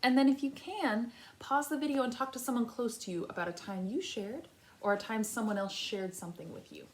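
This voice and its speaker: A woman's voice: on the high side, brisk. A woman teaching a read-aloud lesson for young children, speaking directly to them and thinking aloud as she goes. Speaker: kindergarten teacher reading aloud.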